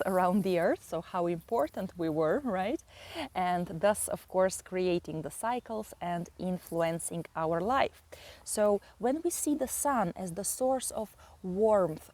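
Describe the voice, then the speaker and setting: A woman with a Bulgarian accent, a native Bulgarian speaker, explaining astrology and mythology with many pauses and fillers. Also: lowish voice, darkish tone. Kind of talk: slow explanatory astrology talk.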